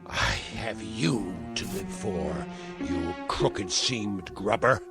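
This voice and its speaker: Sardonic old tyrant, theatrical, grandiose, slow delivery, swooping pitch, vintage TV drama, music behind. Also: male voice, animated. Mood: gloating. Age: older. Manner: theatrical, ornate sardonic phrasing. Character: spiteful, frail old tyrant uncle, mocking and grandiose. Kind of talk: vintage television drama acting.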